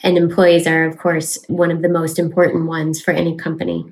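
Woman advisor with a slightly low voice. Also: monotone, brisk, a little mellow, rough recording. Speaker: lawyer advising companies, panelist. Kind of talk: professional panel discussion.

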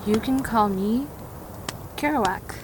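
A woman acting in an audio drama as a guarded, blunt survivor who is gruffly tolerant. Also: fairly high pitch, slow pace, slight background noise, poor audio. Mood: guarded, curt.

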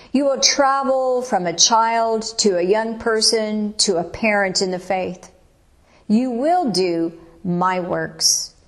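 Slow, solemn woman reading devotions. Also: mid-range voice. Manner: measured, solemn, even cadence. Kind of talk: slow devotional reading of scripture-style declarations.

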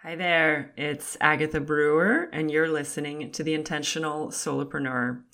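A woman with a low-pitched voice, a touch raspy, hosts her own podcast alone. She talks in a loose, chatty way and laughs lightly between phrases. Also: normal speed, fairly bright tone, faint music behind. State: slightly raspy voice. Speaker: podcast host. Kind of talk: casual solo podcast talk.

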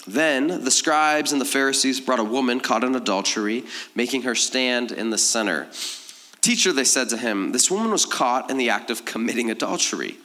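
A man's voice: moderate pace, bright, forceful tone. A man preaching to a congregation as a pastor, in a conversational, informal style with a teasing, self-deprecating manner. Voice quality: slightly deeper voice than usual. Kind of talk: conversational sermon.

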